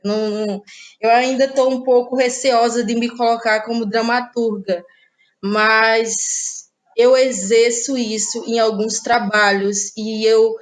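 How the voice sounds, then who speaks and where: A woman's voice: fairly high pitch, level tone, slow, low-quality recording. A woman in her thirties with a Cearense Brazilian accent, a playwright and actress giving thoughtful, hesitant interview answers. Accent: Brazilian Portuguese, Cearense. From Ceará, Brazil. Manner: thoughtful, hesitant, many fillers like "né".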